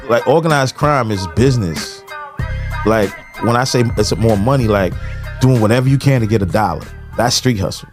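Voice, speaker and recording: A man's voice: lowish voice, expressive, moderate pace, over music. An American man in casual podcast conversation, giving his opinions with frequent conversational tag phrases. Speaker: podcast co-host.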